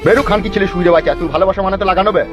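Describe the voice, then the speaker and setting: An indignant, shame-stricken village father put on by a male cartoon actor scolds and laments. His voice is high and very quick, recorded a little dully with music behind. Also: close to an everyday voice. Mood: furious. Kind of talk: cartoon comedy-drama voice acting. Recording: dull audio with music behind.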